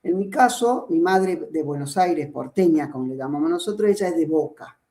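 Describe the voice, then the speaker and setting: A man's voice: fairly high pitch, animated, normal speed, mellow. A middle-aged man from Córdoba with an Argentine accent, a football coach explaining his ideas as a podcast guest with many fillers and restarts. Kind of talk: podcast interview conversation. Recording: roomy echo.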